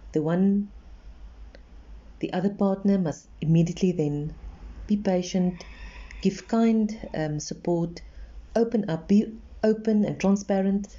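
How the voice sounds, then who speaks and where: Earnest woman, mellow voice. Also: medium pitch, measured pace, pauses often, lo-fi sound. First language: Afrikaans. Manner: earnest, reassuring, repeats for emphasis. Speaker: relationship advisor. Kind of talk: advice monologue.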